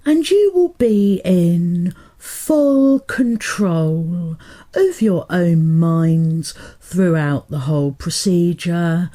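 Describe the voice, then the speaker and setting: A woman hypnotherapist guiding a meditation in a soothing, deliberate manner, pausing between short phrases. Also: low voice, dark tone. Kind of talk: guided meditation hypnotherapy narration.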